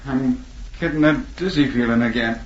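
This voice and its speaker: A man playing a folksy, gullible, sickly outlaw with rustic, rough speech in a 1940s radio adventure. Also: mid-range voice, moderate pace, slight background noise, lightly acted. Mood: anxious. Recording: muffled, echoey 1940s radio recording.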